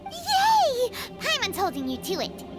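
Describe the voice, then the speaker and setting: A tiny, bratty floating companion from a video game speaks in a sky-high, wildly swooping voice and talks about herself in the third person. She drawls her words slowly. Loud game music plays underneath. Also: wildly swooping pitch, full character voice. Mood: excitable.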